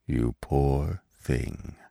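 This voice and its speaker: A man voicing a grave old stag in a storybook, soft and quiet yet commanding. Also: very deep voice, expressive, slow, husky, no room sound, studio quality, voice pushed down, exaggerated voice. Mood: grave, pitying. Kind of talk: storybook character voice.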